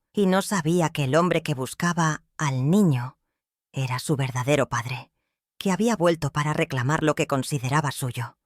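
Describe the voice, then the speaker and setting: Brief: older woman, first-person storyteller, solemn and measured, dry recording. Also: low voice, dry recording. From Michoacán, Mexico. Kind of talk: scripted first-person narration.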